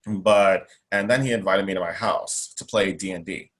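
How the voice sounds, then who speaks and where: A man's voice: sits low, moderate pace, fairly bright tone. A young man speaking as an interview guest, emphatic and outspoken, with a strong voice. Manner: emphatic, outspoken, repeats himself. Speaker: guest, self-advocate. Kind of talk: interview conversation.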